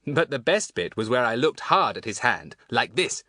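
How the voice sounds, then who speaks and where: A man's voice: mid-range voice, very animated, moderate pace, bright, forceful tone, dry recording, studio quality, pitched above the performer's own voice. A man voicing a clever, boastful schoolboy in a children's audiobook, chatting with self-satisfied relish. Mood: gleeful, boastful.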